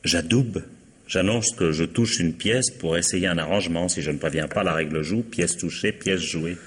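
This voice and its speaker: Very low, husky husband. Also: male voice, moderate pace, a bit bright. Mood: polite, precise. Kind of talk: 1950s French radio mystery acting.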